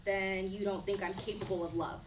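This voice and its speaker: A woman's voice: medium pitch, steady tone, a little fast, close to an everyday voice. An actress playing a defiant, wounded twenty-three-year-old woman in a stage play, speaking earnestly and defensively. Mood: wounded, pleading. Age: young adult. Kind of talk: one-act play dialogue. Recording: roomy echo, distant mic, faint noise.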